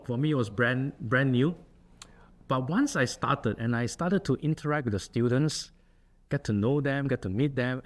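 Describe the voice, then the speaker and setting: A middle-aged man from Singapore with a Singaporean accent, an associate dean giving an earnest talk and answering questions in a lecture room. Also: medium pitch. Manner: earnest, with frequent fillers and restarts.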